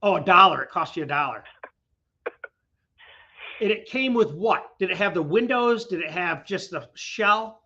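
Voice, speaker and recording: A man's voice: high-pitched, lively intonation, moderate pace, long pauses, bright, forceful tone, lo-fi sound. A man hosting a casual interview from his garage, chatty and rambling, his voice recovering from being sick. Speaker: host. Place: his garage, windy outdoors.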